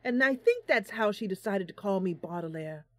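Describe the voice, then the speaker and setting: A woman's voice: mid-range voice, lively intonation, moderate pace, fairly bright tone, dry recording, close to an everyday voice. Lady doing a friendly dreamy bard heroine for a fantasy game, theatrical, draws words out, dry close sound.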